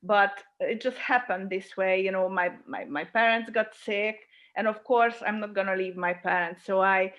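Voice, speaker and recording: A middle-aged woman talks in a thoughtful, searching way and pauses to find her words. The audio is a dull, lo-fi video call with her voice at a middle pitch. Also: a bit bright. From Hungary. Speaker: guest, filmmaker. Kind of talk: casual interview chat.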